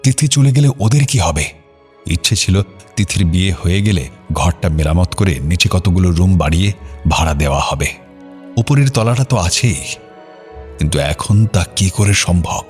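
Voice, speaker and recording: A man narrating an audio story drama in a scripted, dramatic style. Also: deep voice.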